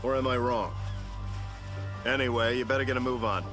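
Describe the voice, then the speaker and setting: A man's voice: mid-range voice, steady tone, moderate pace, lightly acted. A man playing a laid-back, blunt, teasing friend with casual delivery in a video game. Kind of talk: naturalistic video game voice acting. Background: music.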